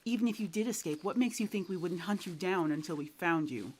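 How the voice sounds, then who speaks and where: Low-voiced, menacing huntress acted by a woman. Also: brisk, a little hiss or hum, lightly acted. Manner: curt, threatening, sardonic. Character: hard-bitten female poacher huntress, irritable and menacing. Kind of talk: audio roleplay drama.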